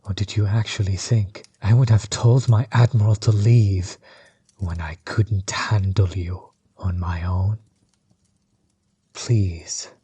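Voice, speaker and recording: A menacing sorcerer overlord, acted by a man, speaks in a low, dark and very husky voice. He is measured and coldly taunting, a bitter villain in an audio roleplay whose words often sink toward a breathy whisper. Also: full character voice.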